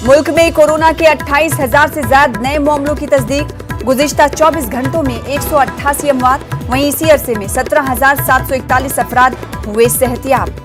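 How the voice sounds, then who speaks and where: A woman's voice: high-pitched, steady tone, moderate pace, music in the background. A woman with an Indian accent presenting a news bulletin as the anchor. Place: broadcast news studio.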